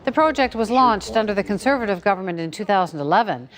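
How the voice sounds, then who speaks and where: A woman anchoring a national newscast, reading the script in a crisp, measured way. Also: medium pitch, animated. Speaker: news anchor.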